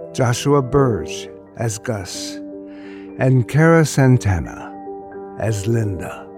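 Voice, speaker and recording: A man giving a scripted read of show credits as an announcer. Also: mid-range voice. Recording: music behind the voice.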